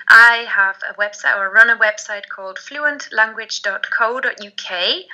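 A woman, chatting as a guest on a video call over a headset, lively and emphatic. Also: a little high. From Germany. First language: German. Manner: lively, emphatic, laughs often. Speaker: guest, language blogger and podcaster. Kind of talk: casual video call chat. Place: home room, indoors. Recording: dull lo-fi video call audio.

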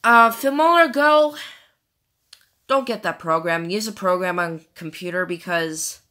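Tired, rambling young woman, slow talker. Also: lowish voice, sharp tone. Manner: rambling, with many fillers. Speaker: amateur comic dubber. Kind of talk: casual chat. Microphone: phone.

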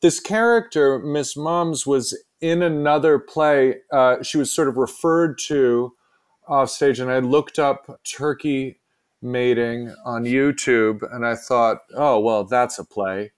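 A man giving casual interview answers as a playwright, halting and self-deprecating as he thinks aloud. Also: mid-range voice, clear, resonant tone.

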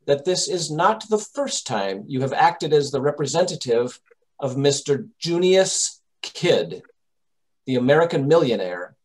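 Shrewd male radio-drama detective, played by a man, mid-pitched, deliberate, confident, formal diction. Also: poor audio. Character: shrewd, self-assured male detective confronting a culprit. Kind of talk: old-time radio drama acting. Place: home room, over video call.

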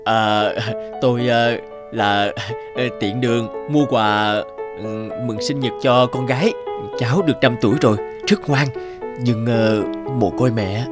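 A man voicing a shy, kind young single father in an animated drama, hesitant and bashful. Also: mid-range voice, unhurried, music in the background, lightly acted. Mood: flustered.

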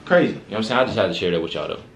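A man's voice: low-pitched, expressive, racing, reverberant, a little hiss or hum. A young man hosting a reaction video, chatting casually in a slangy, laid-back way with lots of fillers. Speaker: reaction channel host. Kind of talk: casual reaction vlog chat. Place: small indoor room with records on the wall.

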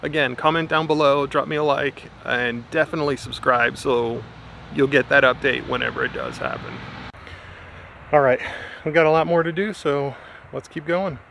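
A man in his thirties talks to a handheld camera in his backyard garden, chatty and a little worried as he thinks aloud. His voice sits at an ordinary pitch with a gravelly edge. A faint outdoor hum with distant traffic runs underneath. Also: lively intonation, normal speed, a bit bright. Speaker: home gardener vlogger. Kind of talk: casual garden vlog. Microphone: camera held at arm's length, outdoors.

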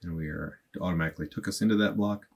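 A man in his thirties speaks in a low, slightly husky voice as he talks through a computer tutorial. He thinks aloud in plain, unhurried speech, with the odd filler. He is recorded at a desk in a small room. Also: steady tone. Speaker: automation tutorial host. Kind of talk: casual screen-recorded tutorial.

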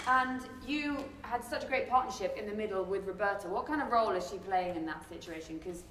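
A woman's voice: mid-range voice, a little fast, a bit bright. Woman asking sports press questions, roomy echo, far from the mic. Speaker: press conference moderator. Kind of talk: casual sports interview questions. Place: indoor press conference room. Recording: echoey room, distant mic, faint noise.

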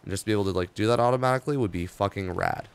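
A young man with a hoarse, worn-out voice, rambling casually through game patch notes as he plays. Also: lowish voice, rapid-fire. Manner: rambling, offhand, reading patch notes aloud with asides. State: hoarse, tired voice. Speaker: gaming video host. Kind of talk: casual gameplay commentary.

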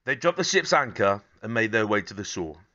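A man in his thirties reads a children's picture book aloud. His voice is fairly low and bright, with animated swings in pitch. The phrasing is measured and gently dramatic, and the recording sounds a little dull. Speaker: storybook reader. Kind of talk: expressive children's story narration.

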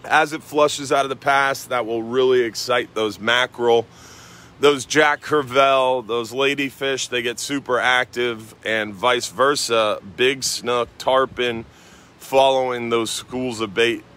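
An American man giving a casual outdoor fishing and weather report for a marina, relaxed and rambling with frequent fillers. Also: medium pitch, a little slow.

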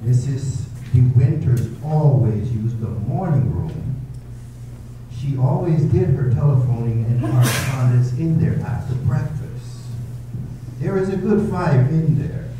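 A proper butler acted by a man in a radio play, formal and deferential, with a very dark, muffled tone. He sounds far from the microphone in a very echoey space. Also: mid-range voice, expressive, measured pace, lightly acted. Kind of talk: readers-theater radio drama acting. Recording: echoey, distant, faint hum.

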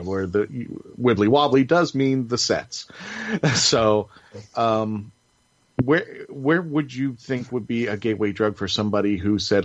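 A man with a fairly low voice hosts a casual podcast panel. He rambles along enthusiastically, close and dry, through slightly dull, lo-fi audio. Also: no echo. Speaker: podcast host.

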